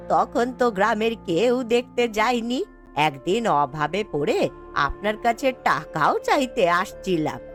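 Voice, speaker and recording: A woman's voice: mid-range voice, lightly acted. A woman playing a poor, proud old village grandmother in a fairy-tale cartoon, speaking in an emphatic, indignant way. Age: older. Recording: background music throughout.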